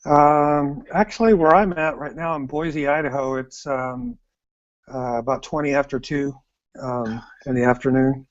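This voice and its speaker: A man's voice: mid-range voice, fairly even intonation, a little slow. A man with an American accent, a guest on an online call, talking in an easygoing, rambling way. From United States. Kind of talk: casual chat. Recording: dull lo-fi call audio.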